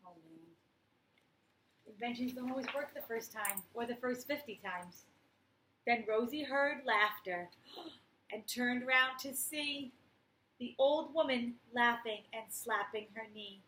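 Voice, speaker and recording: A woman reading a picture book aloud like a teacher, expressive, with chatty explanatory asides for young children. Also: a little high, slow. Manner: expressive, explains words and story to young listeners. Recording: roomy echo, faint background noise.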